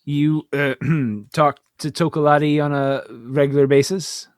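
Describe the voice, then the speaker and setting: Man playing slow, wry space station commander. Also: on the high side, fairly even intonation, no echo, clean studio sound, natural voice. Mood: hesitant, earnest. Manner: hesitant, wry. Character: witty, self-deprecating male space station commander. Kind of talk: audio drama acting.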